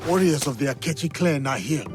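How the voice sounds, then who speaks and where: A man's voice: fairly high pitch, fairly quick, lightly acted. Man playing a terse, stoic warrior. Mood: grim, alert. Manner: terse, direct. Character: stoic warrior ally in feudal Japan. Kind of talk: video game cutscene acting. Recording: loud music behind the voice. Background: loud music.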